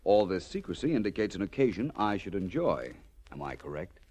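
A low-pitched, suave gentleman detective from a vintage radio mystery. His intonation swings freely, and he speaks with wry, precise self-assurance. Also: big pitch swings, brisk, background noise. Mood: wry, assured. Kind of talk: 1940s radio detective drama acting. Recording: old 1940s radio transcription.

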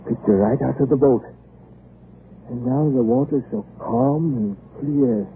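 An actor playing a frightened, rough boatman in melodramatic old radio horror, with urgent exclamations. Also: mid-range voice, a little slow, lightly acted. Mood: uneasy wonder. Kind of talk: 1930s-40s radio horror acting. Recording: noisy, muffled old radio broadcast.

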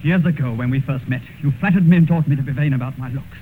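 An actor playing a vain, cynical, decadent gentleman in a theatrical, taunting radio drama style. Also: mid-range voice, fast talker, phone-quality sound, close to an everyday voice. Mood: bitter. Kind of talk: 1950s radio drama acting. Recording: scratchy old radio recording, thin band, hiss.

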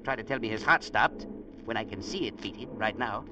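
An actor playing a blunt, bossy, unflappable sea captain in a vintage radio drama, with curt, folksy talk. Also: male voice, fairly low pitch, a little fast, close to an everyday voice. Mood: absorbed, testy. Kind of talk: 1940s-50s radio drama acting. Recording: muffled, noisy vintage radio recording.

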